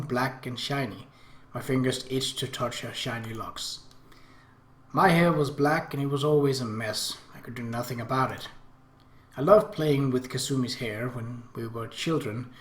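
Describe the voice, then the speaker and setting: A man narrating a visual novel aloud as an expressive, theatrical first-person story reading, in an echoey room. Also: medium pitch, moderate pace. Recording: roomy, echoey home recording.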